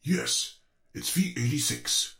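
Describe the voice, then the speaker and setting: Man acting a gravelly veteran soldier. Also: mid-range voice, slow, pushed and bright, full character voice. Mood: gruff, game. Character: gruff veteran soldier, eager for a fight. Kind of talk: hobby Minecraft roleplay acting.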